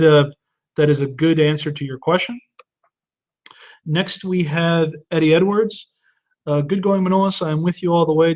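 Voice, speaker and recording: A man in his thirties hosts a live webinar and answers viewer questions one by one. His voice sits a touch high, and he gives earnest advice, often restarting mid-sentence. The audio is dull and lo-fi. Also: a little mellow. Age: 30s. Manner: earnest advice-giving with frequent restarts and fillers.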